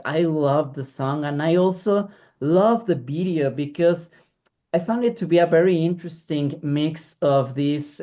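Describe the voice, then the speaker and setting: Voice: medium pitch, warm tone. An interviewer asking a musician questions, chatty and adding opinions of their own. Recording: dull lo-fi audio.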